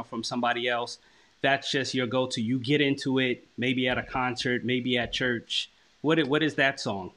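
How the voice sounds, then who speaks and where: A man's voice: mid-range voice, a bit bright. A man hosting an interview in a casual conversational style, enthusiastic and affirming. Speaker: interview show host.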